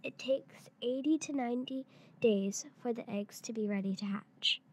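A girl of about eight or nine, a third-grade pupil, reading a picture book aloud in a halting way. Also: on the high side. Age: child, around eight or nine.

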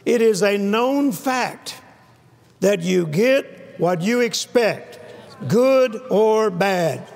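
A man's voice: high-pitched, animated, extremely slow, vocal fry, a little mellow. An older man preaching a sermon to a live audience on a church stage, emphatic and repeating key phrases, often calling for amens. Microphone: lapel mic. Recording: long hall reverb.

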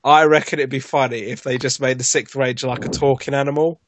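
A man's voice: mid-range voice, little pitch movement, quick pace, no room sound. A man in casual chat, rambling with lots of fillers and restarts as a podcast guest. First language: not Japanese.

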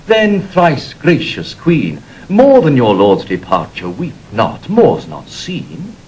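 An animated, earnest courtier. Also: male voice, medium pitch, a little hiss or hum, low-quality recording. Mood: consoling. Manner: measured, declamatory. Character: courtly, earnest male attendant consoling his queen. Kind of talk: classical Shakespearean radio acting.

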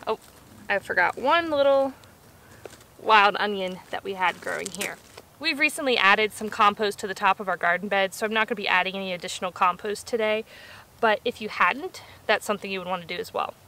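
A woman's voice: medium pitch, a little fast, sharp tone. A woman in her thirties talking casually and explaining as she works, hosting a gardening vlog outdoors. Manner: explanatory, conversational. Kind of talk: casual instructional vlog talk while working. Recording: clear outdoor background noise.

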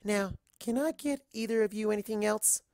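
A narrator voicing a friendly, chatty waitress pony who gently reassures and encourages in a read-aloud story. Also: medium pitch, normal speed, no room sound, studio quality, pitched above the performer's own voice, lightly acted. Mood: reassuring.

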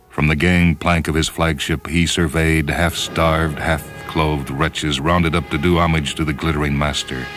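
A man reading a science fiction story as solemn, measured, dramatic narration. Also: a bass voice. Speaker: short story reader. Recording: voice over background music. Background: orchestral and piano music.

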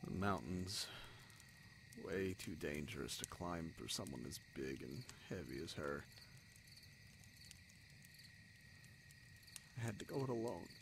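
A man playing a bitter, wounded young spider prince in a fantasy audio drama, speaking in halting, emotional phrases with heavy pauses. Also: low voice, creaky, background noise. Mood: sombre. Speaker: voice actor in an audio roleplay.

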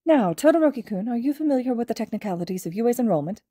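A small, clever animal principal from a story, voiced by a woman narrator. The voice is raised in pitch and soft-toned. The manner is courteous, measured and formal, meant to reassure. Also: lively intonation, slightly rough, no room sound, clean studio sound. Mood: courteous, businesslike. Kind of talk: audio fiction reading.

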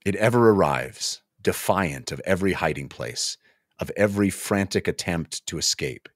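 Very deep-voiced middle-aged man. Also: expressive, normal speed, no room sound, studio quality. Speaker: podcast co-host. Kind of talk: book discussion with expressive read-aloud passages. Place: small home office, indoors. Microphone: close podcast microphone on a boom arm.

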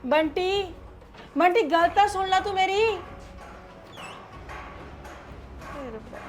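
Actress as an older grandmother, tender and pleading, voice pitched a touch high. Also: normal speed, mellow, soft background music, lightly acted. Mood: despairing. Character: remorseful loving grandmother, older woman. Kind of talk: family drama acting.

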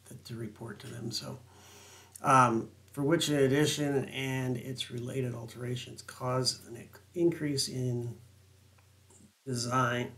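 A man's voice: medium pitch, steady tone, slow, a bit bright. A middle-aged man reading and explaining building code sections during a screen-share walkthrough, with lots of ums and restarts. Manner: rambling, filler-heavy reading of code text. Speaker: building design consultant.

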